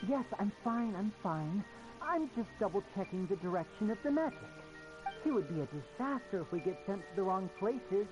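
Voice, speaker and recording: A man's voice: sits high, very animated, moderate pace, rough recording. A playful showman magician. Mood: assured. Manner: theatrical, playful, polite. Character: young showman stage magician, playful and confident. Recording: game audio with loud music behind.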